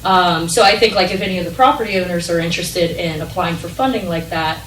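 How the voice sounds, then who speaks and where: A woman's voice: lowish voice, fast talker, a little hiss or hum. A young woman from a regional planning group explaining a grant program at a council meeting, with many ums and likes. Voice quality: loud voice. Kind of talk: public meeting presentation. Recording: echoey meeting hall, distant mic.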